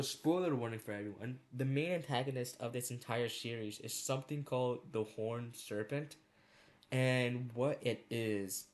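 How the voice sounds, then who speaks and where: A man giving casual, rambling opinion commentary as a video creator, speaking informally with slang and some swearing. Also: mid-range voice. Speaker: video creator ranking horror series.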